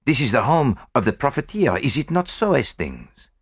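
A man's voice: medium pitch, very animated, normal speed, husky, no echo, studio quality, voice pushed up. A man voicing a fussy, courteous elderly Belgian detective with precise, mannered foreign phrasing, in an audiobook. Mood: genial. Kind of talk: audiobook character voice.

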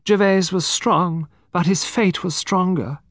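Man as dreamy older noblewoman, high soft voice, slow, gentle, fatalistic, mystery audiobook. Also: dry recording, clean studio sound. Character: dreamy, fragile, fatalistic aristocratic older woman.

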